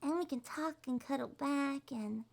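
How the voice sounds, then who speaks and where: A woman voicing a shy, sweet young succubus monster girl in a soft, breathy, hesitant ASMR roleplay. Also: sits high, moderate pace. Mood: shy, gentle. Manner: hesitant, gentle, slightly stammering.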